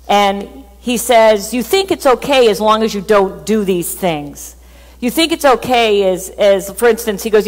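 Chatty woman pastor in a reverberant church. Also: medium pitch. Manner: chatty, animated, laughs at herself. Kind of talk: sermon. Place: church stage with band gear.

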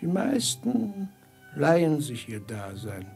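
An actor playing a fatalistic, knowing beggar sage in a 1950s radio drama, with creaky voice and measured, cryptic delivery. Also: male voice, a little high, big pitch swings, a little mellow, soft background music, full character voice.

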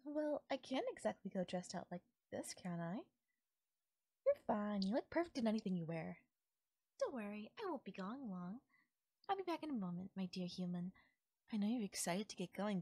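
A woman acts out a doting yandere fairy for an ASMR roleplay. The voice is breathy and very close and dry, with a sweet, possessive lilt that can sink toward a whisper. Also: mid-range voice, very animated, moderate pace, warm tone, no room sound. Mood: sweet, coaxing. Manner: sweet, breathy, lilting, sometimes dropping to a whisper. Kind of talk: intimate ASMR audio roleplay.

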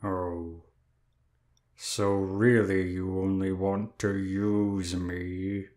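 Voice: sits low, level tone, drawn-out words, darkish tone. A performer playing a lazy, bitter, exhausted demon of Sloth in an audio drama, speaking in a weary, self-pitying drawl. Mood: weary, dismissive. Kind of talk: audio roleplay drama acting.

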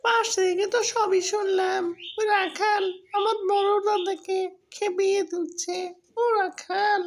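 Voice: extremely high pitch, level tone, a little slow. A performer voicing a young fox, a pleading, whiny chicken thief, in a cartoon. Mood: determined, worried. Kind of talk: cartoon voice acting.

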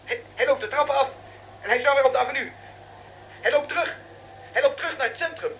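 An actor playing an excitable, stammering radio reporter in a radio drama, heard over a telephone line. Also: male voice, very high-pitched, quick pace, slight background noise. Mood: breathless excitement. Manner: agitated, stammering repetitions. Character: flustered, excitable male radio reporter on the scene.

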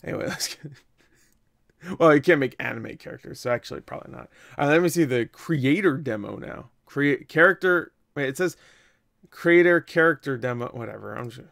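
Creaky-voiced young man reacting casually. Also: medium pitch, lively intonation, brisk, fairly bright tone. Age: young adult. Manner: profane, exclamatory, joking asides. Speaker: reaction video host. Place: small indoor room at home.

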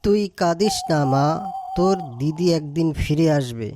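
A woman's voice: deep voice, lively intonation, moderate pace, noisy background. A woman voicing a worried, caring fox father in a cartoon. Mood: sorrowful. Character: worried, gentle fox father searching for his daughter.

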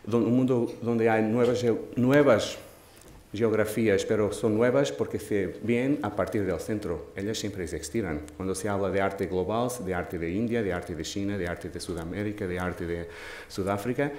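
A man in his forties with a Portuguese accent, a non-native speaker, moderating a panel in a thoughtful way, pausing and restarting phrases. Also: on the low side, a little mellow. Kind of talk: panel discussion talk. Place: large dark auditorium stage. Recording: echoey hall with faint noise.

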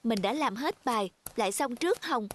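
A woman playing a proud, competitive schoolgirl in an animated cartoon. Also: fairly high pitch, moderate pace, slight background noise, lightly acted. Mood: determined.

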